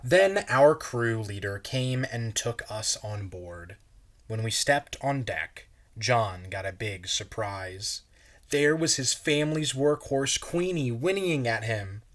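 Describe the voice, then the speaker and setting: A man reads a children's story aloud as a pastor would to young listeners. His pace is very slow and measured, and his voice sits at an average male pitch. The delivery is expressive, shaped for children.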